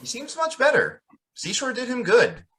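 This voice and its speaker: A man's voice: extremely high pitch, huge pitch swings, moderate pace, pushed and bright, full character voice. A man playing an earnest, naive young reverend in an amateur radio drama, awkward and questioning. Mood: concerned.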